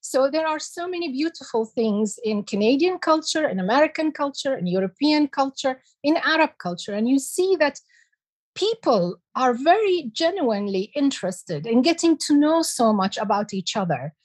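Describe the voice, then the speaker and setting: A woman in her sixties from Iraq, giving slow, measured answers as an interview guest. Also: high-pitched, sing-song. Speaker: guest, heritage expert.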